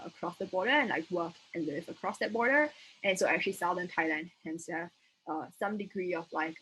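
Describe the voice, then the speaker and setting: Lower-voiced young Singaporean woman lecturing casually. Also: a bit bright, poor audio. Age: young adult. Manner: casual, hedging, thinking aloud. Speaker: debate trainer. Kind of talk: informal explanatory lecture. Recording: noticeable background noise.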